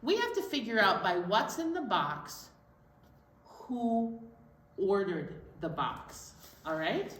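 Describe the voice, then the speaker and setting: Female children's co-host, slow and simple explanations aimed straight at little listeners, in an echoey room. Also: medium pitch, big pitch swings, a bit bright. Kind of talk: simple presenting to young children. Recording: echoey room, faint background noise.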